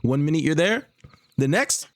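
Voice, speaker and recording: A man's voice: fairly high pitch, lively intonation, moderate pace, no echo. A man playing an upbeat, slick parody infomercial host, with theatrical sales patter and exaggerated emphasis. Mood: salesy. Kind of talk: comedic scripted infomercial roleplay.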